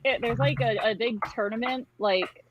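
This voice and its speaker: A woman's voice: fairly high pitch, moderate pace, background noise. A woman chatting casually as a guest in a group game. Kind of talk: casual chat about books.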